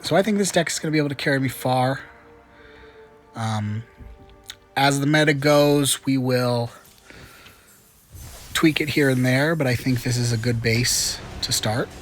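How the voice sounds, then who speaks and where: Man, slightly congested, chatty and upbeat, mid-pitched voice, faint background music. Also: measured pace, pauses often. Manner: chatty and upbeat, with frequent fillers. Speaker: gaming channel host. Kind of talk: casual gameplay commentary.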